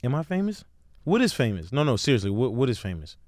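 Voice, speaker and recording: A young man from Florida, a guest in a casual interview, laid-back and quick to agree. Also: mid-range voice, sing-song, a little mellow, dry recording, studio quality. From Jacksonville, Florida. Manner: laid-back, repeats short affirmations. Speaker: actor, guest.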